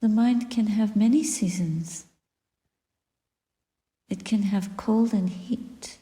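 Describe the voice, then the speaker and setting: Very mellow, slow woman meditation teacher. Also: medium pitch. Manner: gentle, deliberate, long pauses between short phrases. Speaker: Buddhist nun, meditation teacher. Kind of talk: guided meditation, spoken dharma reflection. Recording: echoey room with long reverb.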